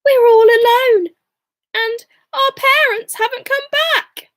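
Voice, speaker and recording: A woman's voice: very high voice, steady tone, takes it slowly, fairly bright tone, pitched above the performer's own voice, full character voice. A woman voicing a sweet, worried little girl in a bedtime story. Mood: plaintive.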